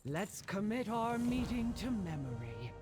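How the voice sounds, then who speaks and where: A woman's voice: mid-range voice, big pitch swings, measured pace, music in the background. A woman voicing a stoic, machine-like stone being in a fantasy game, speaking in stilted formal phrases.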